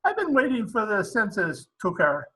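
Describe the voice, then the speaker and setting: A man playing a jolly Swedish immigrant family man in a radio comedy, with halting, broken English. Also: high voice, very animated, bright, forceful tone, heavily acted. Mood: jolly, joking. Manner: halting, broken English, chuckling at own jokes. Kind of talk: old-time radio comedy acting.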